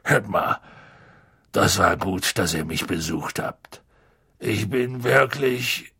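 A man voicing a huge, tipsy, emotional gamekeeper in an audiobook, with a gruff, slurred voice and colloquial speech. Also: lowish voice, lively intonation, slow pace, pushed and bright, no echo, studio quality, pitched below the performer's own voice, heavily acted. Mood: sobering. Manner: colloquial, dropping words, swinging into bellows.

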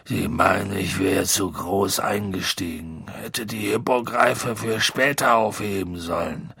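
A huge storybook gamekeeper, tipsy and full of feeling. The voice is gravelly and rough, with slurred, folksy speech that drops words. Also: male voice, low-pitched, measured pace, pushed and bright, no room sound, clean studio sound, voice pushed down, full character voice. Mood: dejected. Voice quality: gruff, slurred. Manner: colloquial, dropping words, swinging into bellows. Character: huge, tipsy, emotional male gamekeeper, gruff and loving.

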